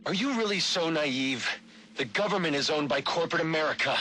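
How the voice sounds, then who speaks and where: An actor playing a zealous anarchist vigilante in a video game, preaching with a deliberate, menacing delivery. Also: male voice, a little high, expressive, bright, forceful tone. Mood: ominous, fervent. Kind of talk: video game voice acting, audio log.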